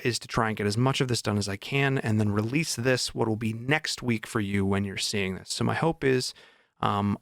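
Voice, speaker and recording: A man's voice: fairly low pitch, vocal fry, fairly bright tone, no room sound, studio quality. A man chatting casually as a vlog host, informal and enthusiastic about his writing. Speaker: vlog host and indie author.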